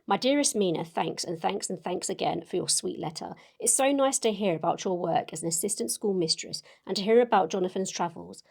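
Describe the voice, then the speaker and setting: Young Victorian lady acted by a woman: sentimental letter-writer, gushing yet earnest, lowish pitch with audible fry. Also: a little fast, few pauses, darkish tone. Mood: warm, chatty. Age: young adult. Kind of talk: dramatic reading of a letter.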